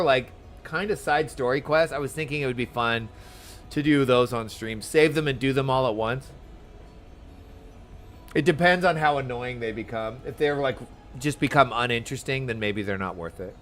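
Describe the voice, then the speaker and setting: A man's voice: mid-range voice, normal speed, fairly bright tone. A man chatting casually while live streaming a game, giving loose commentary as he plays. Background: game music.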